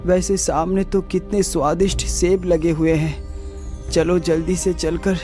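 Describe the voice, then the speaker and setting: A man's voice: a little high, flat delivery, a little slow, warm tone, faint music behind, natural voice. A man voicing a curious young prince in a cartoon, with a wondering, inquisitive manner. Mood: eager. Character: young prince, curious, good-natured traveller. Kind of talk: Hindi moral-story cartoon acting.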